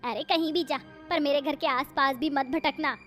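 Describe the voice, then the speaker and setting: A woman plays a bullying woman of a village household in a cartoon tale. Her voice is extremely high and she scolds in sharp, scornful commands. Soft music runs underneath. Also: fairly even intonation, moderate pace. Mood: harsh, contemptuous. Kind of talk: cartoon moral story acting.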